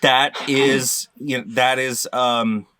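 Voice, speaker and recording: Bright-voiced man, deadpan and dry-miked. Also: medium pitch, takes it slowly, no room sound. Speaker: quiz panelist. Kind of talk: improvised absurd comic explanation.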